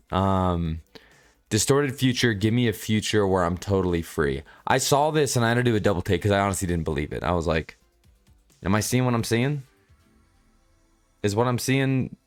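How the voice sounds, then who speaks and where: A man giving casual, unscripted commentary as a reviewer, rambling and halting as he goes and often repeating himself. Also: fairly low pitch. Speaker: manga chapter reviewer.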